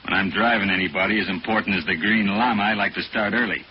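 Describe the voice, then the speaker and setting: An actor playing a laconic, blunt chauffeur with deadpan, plain-spoken delivery in a 1940s radio drama. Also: male voice, on the low side, fast talker, lightly acted. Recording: muffled 1940s radio, faint noise.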